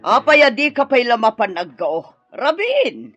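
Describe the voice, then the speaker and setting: Sharp, brusque, bossy mother-in-law. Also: on the high side, huge pitch swings, measured pace, husky, no room sound, soft background music, exaggerated voice. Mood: scolding. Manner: scolding, sharp, emphatic. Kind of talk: Ilocano radio drama acting. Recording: dull, lo-fi audio.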